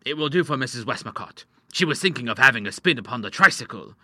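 Man voicing a genial, fussy father, bright and forceful. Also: medium pitch, lively intonation, fast, no echo, clean studio sound. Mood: genial, approving. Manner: proper, courteous, exclamatory when vexed. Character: genial, fussy middle-aged doctor and father, easily ruffled. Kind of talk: audiobook character voice.

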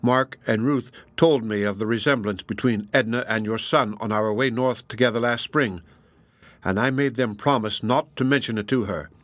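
A solemn, upright sea captain voiced by a male narrator speaks in formal, earnest sentences. The voice is mid-pitched for a man. It is storybook dialogue, recorded dry. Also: moderate pace, fairly bright tone, dry recording, natural voice. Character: upright middle-aged sea captain, solemn loving foster father.

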